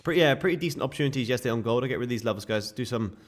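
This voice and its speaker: A man with a sore, croaky throat, casually walking viewers through live trading charts. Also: medium pitch, expressive, rapid-fire. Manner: casual, chatty explaining to viewers. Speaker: day trading streamer.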